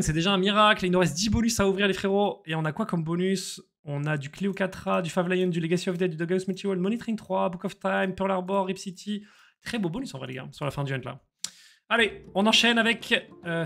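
A man, live-streaming slot games and chatting excitedly with viewers over a headset in a small room. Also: sits high, vocal fry. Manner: excitable, slangy, chats with viewers. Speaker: casino streamer.

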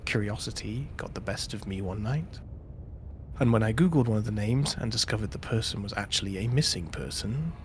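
Tired deep-voiced man reading slowly. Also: lively intonation, a little hiss or hum. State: tired, croaky. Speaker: stream co-host. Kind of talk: reading a short story aloud.

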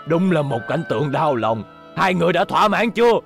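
An actor voicing hot-tempered rival men in a dubbed cartoon, in a dramatic, declamatory manner. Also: male voice, fairly high pitch, sing-song, fairly quick, creaky, soft background music. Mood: sorrowful. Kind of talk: animated cartoon dub, Vietnamese dialogue.

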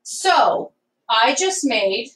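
A woman's voice: on the high side, sing-song, drawn-out words, a bit bright. A woman in her forties, a hairdresser and salon owner, giving a whiteboard business talk and ending points with "okay?", recorded by a camera across a room at home. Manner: emphatic, ends points with "okay?". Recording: voice from a distance.

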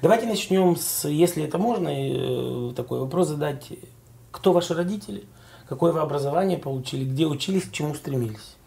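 A middle-aged man, hoarse from a cold, hosting a studio interview in a leisurely, chatty way. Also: a little high, lively intonation, a little fast, mellow. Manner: leisurely, chatty questioning with frequent affirming interjections. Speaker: talk show host.

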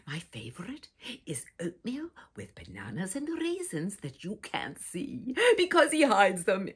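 Husky, wildly expressive older woman storyteller. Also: mid-range voice, measured pace. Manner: dramatic, expressive, dropping into breathy whispers. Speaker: storyteller, host. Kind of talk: theatrical children's picture-book read-aloud. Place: small furnished room indoors with bookshelves.

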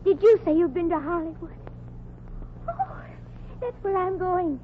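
A woman's voice: very high voice, a little slow. An actress playing a kindly, naive small-town woman in a 1940s radio comedy, earnest and gushing. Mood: eager. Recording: muffled, noisy 1940s radio recording.

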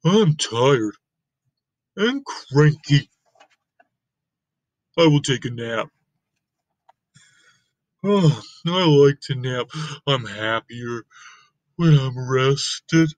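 Storybook elephant, male, tired and cranky, played by a man; grumbling, very slow delivery, dull close sound. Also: medium pitch, expressive, dry recording. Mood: weary, cranky. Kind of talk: picture book read-aloud.

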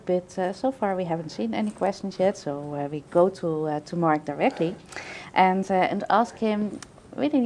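A middle-aged woman with a very low, mellow voice moderates a live-streamed session, hesitating with many fillers. Faint room ambience sits behind her in a bright room by large windows. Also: slight background noise.

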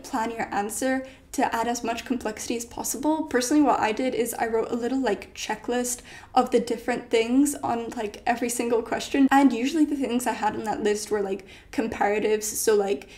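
A young woman in her late teens, a native Polish speaker, talks through exam tips in a relaxed vlog style. Her voice sits a little high, and her speech is peppered with "like" and "you know". She is filmed in her bedroom by a camera set up in front of her. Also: brisk. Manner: chatty, lots of "like" and "you know". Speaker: study vlogger. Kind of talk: casual advice vlog. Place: bedroom at home.

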